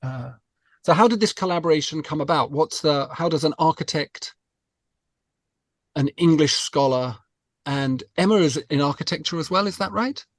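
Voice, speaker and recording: A man's voice: on the high side, moderate pace, no echo, studio quality. A man hosting a podcast interview, casually feeling his way through questions and rephrasing as he goes.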